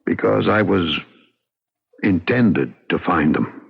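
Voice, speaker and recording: Low-voiced haunted man, old radio. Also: expressive, gravelly, slightly rough, heavily acted. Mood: earnest. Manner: earnest, dramatic, halting when pressed. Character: haunted, agitated, obsessive man drawn to mysteries. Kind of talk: radio drama acting with first-person narration. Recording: narrow-band, noisy old radio recording.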